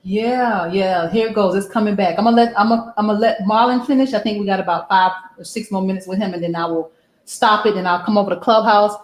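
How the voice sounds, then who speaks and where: A woman hosting a political livestream in casual chat, her voice raspy and hoarse from yelling, talking to her viewers as family. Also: medium pitch, fairly even intonation, fast talker. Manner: chatty, warm toward viewers, addresses audience as family. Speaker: political show host. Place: livestream setup in Atlanta.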